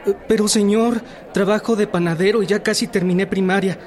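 A man's voice: sits high, steady tone, fairly quick, natural voice. An actor playing an eager, plucky Mexican boy recruit in a radio drama, earnest and a little hesitant. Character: eager, plucky boy wanting to join the rebels.

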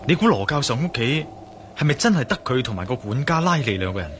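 An actor playing an inquisitive, bold male adventurer in a radio drama, with a Hong Kong Cantonese accent and a deliberate, pondering manner. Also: medium pitch, very animated, slight background noise, lightly acted. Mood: pondering, determined. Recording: radio drama with clear music behind.

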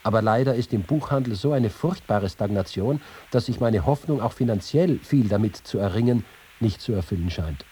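Witty, combative writer-reporter for radio feature; mellow, very raspy delivery. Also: male voice, lowish voice, lively intonation, moderate pace. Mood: confiding. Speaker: actor reading letters and writings. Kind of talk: dramatized reading in a radio feature.

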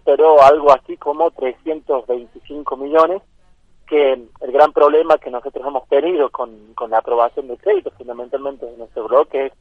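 A man's voice: medium pitch, no echo. Over a narrow telephone line, an Argentine man with a mid-range voice answers a radio interview. He speaks with an Argentine accent, in the formal, explanatory way of a councillor. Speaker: city councillor.

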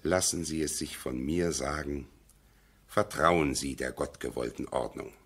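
Very deep, husky moralizing go-between. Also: male voice, a little slow, a bit bright, full character voice. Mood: preachy. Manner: sententious, preachy, emphatic phrasing. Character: fussy, moralizing male family go-between. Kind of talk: 1970s German radio play acting.

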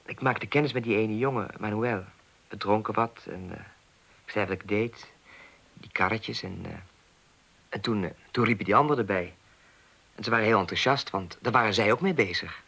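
A man's voice: lowish voice, normal speed, creaky, bright, forceful tone, breathy edge, full character voice. A man playing an awkward, earnest young idealist in a Dutch radio play, speaking hesitantly with stammers. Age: 20s. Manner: hesitant, stammering, agitated when recounting.

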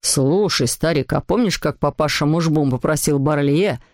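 A woman's voice: sits low, normal speed, a little mellow, dry recording, clean studio sound, pitched below the performer's own voice. A woman voicing a jovial, easygoing father in a storybook, with a hearty manner.

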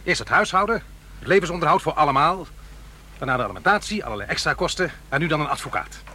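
An actor playing a troubled, reproachful divorced father in middle age, halting and earnest, in a Dutch radio play. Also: fairly high pitch, expressive, sharp tone, slightly rough, heavily acted. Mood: indignant. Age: middle-aged. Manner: halting, earnest, self-questioning.

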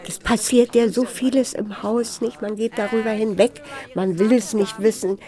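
Actress doing older housewife, mellow highish voice, chatty n fretful, keeps asking for agreement, natural radio play. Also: female voice, fairly even intonation, close to an everyday voice. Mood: uneasy, reminiscing. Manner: halting, seeks agreement with short tag questions. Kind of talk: naturalistic, improvised-sounding radio drama dialogue.

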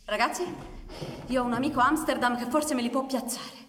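A woman's voice: fairly high pitch, fairly even intonation, brisk, a bit bright, a little hiss or hum, close to an everyday voice. A woman playing a cautious young male anarchist accomplice in live theatre. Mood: anxious. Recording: distant, echoey theatre hall, live music.

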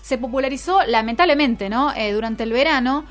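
A woman's voice: high voice, a little fast. A woman with an Argentine accent co-hosting a radio show, conversational as she comments and interviews, often adding tag questions. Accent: Argentine Spanish. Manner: seeks agreement with frequent tag questions. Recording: music playing behind the voice.

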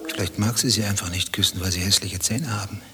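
A man's voice: lowish voice, steady tone, fast, crowd noise, natural voice. An actor playing a sardonic, probing male skeptic in a radio play, questioning with dry sarcasm. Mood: dry, mocking. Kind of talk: German radio play dialogue acting.

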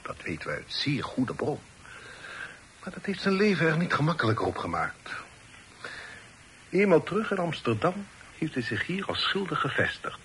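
An authoritative, middle-aged father in a radio play. He speaks deliberately and formally at a medium pitch, with lively rises and falls. The old recording carries faint noise. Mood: confidential, explanatory. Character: authoritative, worldly father advising his grown son. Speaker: radio drama actor. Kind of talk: Dutch radio play acting.

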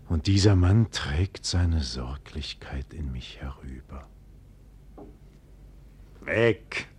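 Fearless idealist nobleman, low husky voice, earnest declamation, 1940s radio play. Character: proud, idealistic, fearless count, stubbornly trusting. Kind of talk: 1940s German radio drama acting.

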